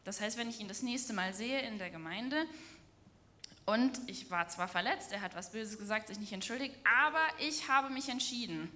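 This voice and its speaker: A woman's voice: mid-range voice, expressive, normal speed, pushed and bright. A young adult woman preaching in an earnest, explanatory way and illustrating her points with everyday examples. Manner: earnest, explanatory, acts out quoted speech. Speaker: preacher in a church. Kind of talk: sermon with personal anecdote.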